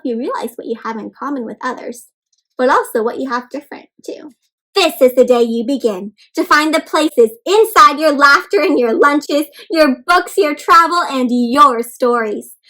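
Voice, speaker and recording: A woman with a high voice reads a picture book aloud to young children. She is expressive and encouraging, pausing to put questions to her small listeners and talk directly to them. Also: rough recording. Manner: addresses listeners as friends. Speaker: children's read-aloud host. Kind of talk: interactive storybook read-aloud for young children.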